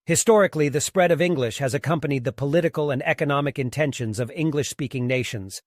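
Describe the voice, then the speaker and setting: A man from Africa narrating a scripted commentary video in a measured, formal, argumentative way. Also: on the high side, dry recording.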